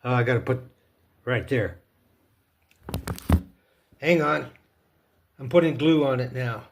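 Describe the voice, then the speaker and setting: Man, unhurried DIY video host, mid-pitched voice, folksy and playful, casual how-to delivery. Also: creaky. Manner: folksy, playful, talks himself through steps. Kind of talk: casual hands-on tutorial narration.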